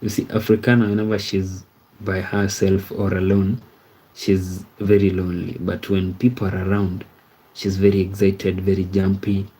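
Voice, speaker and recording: Thirty-something guy on a livestream, low mellow voice, kinda flat, rambles and keeps going "you see?". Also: normal speed, breathy edge, low-quality recording. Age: 30s. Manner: rambling, repeats words, often asks "you see?". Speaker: livestream host. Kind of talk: casual livestream chat reading viewer comments.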